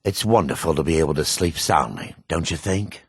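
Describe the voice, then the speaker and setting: An actor playing a gruff, proud male dark elf in a fantasy video game, with a rasping voice and theatrical delivery. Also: very deep voice, normal speed, no room sound, clean studio sound. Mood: remorseful, reflective. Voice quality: gravelly, rasping.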